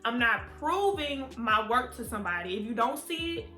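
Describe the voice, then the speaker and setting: A woman's voice: a little high, lively intonation, moderate pace, sharp tone. A woman, chatting candidly to her viewers in a storytime vlog. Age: 20s. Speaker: vlogger. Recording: echoey room with faint music.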